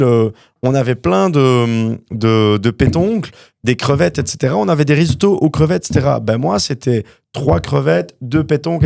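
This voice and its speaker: A young man from Canada, a guest entrepreneur telling his story in a casual interview, animated and full of fillers. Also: mid-range voice, gravelly, no echo, studio quality. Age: young adult.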